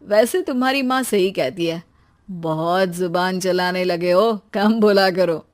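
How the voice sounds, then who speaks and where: A woman's voice: fairly low pitch, measured pace, pitched below the performer's own voice, natural voice. Woman voicing a wry, bantering cartoon dad. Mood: mild chiding. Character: teasing, put-upon husband and father working from home. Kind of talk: Hindi cartoon story dialogue.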